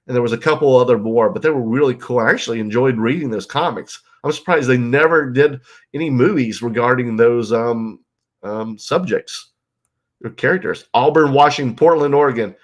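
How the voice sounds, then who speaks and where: A man's voice: medium pitch, normal speed. Congested man hosting a casual livestream. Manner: rambling, loose, full of ums and you-knows. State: stuffed-up nose and congested from illness. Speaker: livestream host. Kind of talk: casual live-stream chat reading viewer comments. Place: guest bedroom at home.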